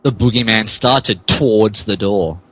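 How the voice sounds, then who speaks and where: A man's voice: on the low side, a little slow, a bit bright. A man narrating a comic dramatic reading of fan fiction in a hammy way, stumbling over words. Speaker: narrator, podcast reader.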